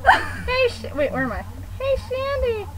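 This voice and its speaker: A woman voicing a silly, teasing cartoon sea sponge in an improvised amateur puppet show, excitable and shrieky. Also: very high voice, unhurried, voice pushed up, exaggerated voice. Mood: excited. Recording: noisy amateur home video sound.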